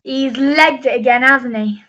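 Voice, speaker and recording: A woman's voice: sits high, measured pace, sharp tone, muffled recording. A woman playing an indignant, quarrelsome jilted sweetheart in an amateur melodrama radio play.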